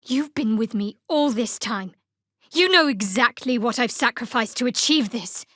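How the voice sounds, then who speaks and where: A young female cleric of a dark goddess speaks in a fairly high voice. She is guarded and wry, with a cool, sardonic edge. It is scripted fantasy game dialogue, recorded very dry with no room sound. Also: expressive, normal speed, dry recording. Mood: stern. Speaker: video game character.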